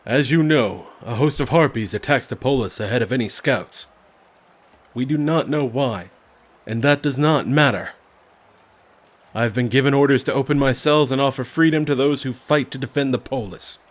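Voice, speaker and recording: A man playing a stern, composed prison warden and mentor in an audio drama, speaking in a measured, formal, instructive way. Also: mid-range voice, natural voice. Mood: commanding.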